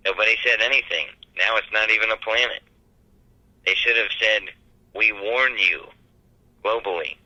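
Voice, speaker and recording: A man giving a comedic press conference speech as a mock candidate, with deadpan delivery. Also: fairly low pitch, moderate pace, long pauses, dull audio.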